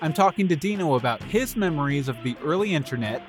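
A man's voice: a little high, lively intonation, fast, soft background music. A man hosting a podcast in a casual conversational interview style.

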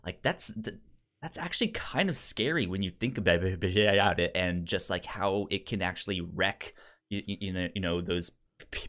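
A man's voice: on the low side, fairly bright tone. A man co-hosting a casual film podcast, stuttering and often repeating sounds and syllables as he talks. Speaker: podcast co-host.

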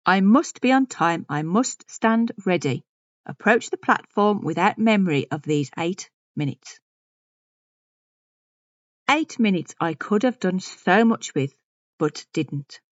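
A woman's voice: fairly low pitch, animated, slow, no room sound, highs cut off. Haughty, fussy older woman, swooping intonation. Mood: fretful, resolute. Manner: pompous, clipped repetitions, self-important. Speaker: audio story reader. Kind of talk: dramatic first-person monologue reading.

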